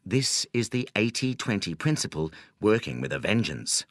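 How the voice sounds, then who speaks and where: A man narrating a nonfiction audiobook in a measured, deliberate, scripted reading style. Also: on the low side, animated, no echo, clean studio sound. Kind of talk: scripted audiobook narration of a self-help business book.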